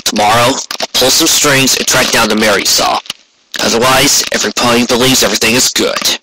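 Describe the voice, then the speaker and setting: A man's voice: mid-range voice, fairly even intonation, brisk, background noise, close to an everyday voice. Man acting a tired, dutiful pony guard captain. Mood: weary, firm. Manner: tired, firm, terse. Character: weary wounded male pony guard captain, proud and dutiful.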